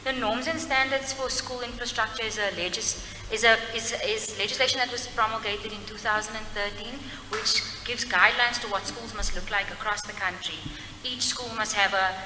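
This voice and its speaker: A young woman from South Africa giving a public presentation at a podium mic, halting and correcting herself as she goes. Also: a little high, fairly even intonation. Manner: halting, self-correcting, apologetic asides. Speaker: civil society presenter. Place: large hall, indoors. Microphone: mic on a stand at a podium. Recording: echoey hall from a distance.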